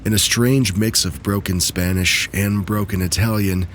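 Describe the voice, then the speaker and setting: Low-voiced man narrating eerie tales. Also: fairly even intonation, moderate pace, slight background noise. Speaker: narrator of submitted stories. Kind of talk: scripted narration of true spooky stories.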